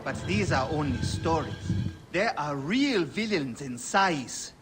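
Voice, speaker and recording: An actor playing a guarded, fearful merchant brewer who speaks like a grave storyteller in a dramatic game cutscene. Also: male voice, fairly high pitch, lively intonation, slow, over loud music. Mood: ominous, grave.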